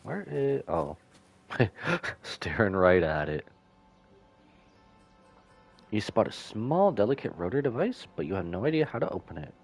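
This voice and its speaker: A man's voice: on the low side, sing-song, unhurried, vocal fry, pauses often, soft background music. A man giving casual, slangy live commentary as he streams a video game.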